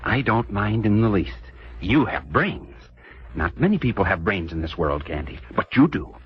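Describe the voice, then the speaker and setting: An actor playing an unhinged, grandiose composer with a theatrical, erratic delivery in 1940s radio detective style. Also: male voice, lowish voice, big pitch swings, moderate pace. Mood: grandiose, conspiratorial. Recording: muffled, noisy old radio recording.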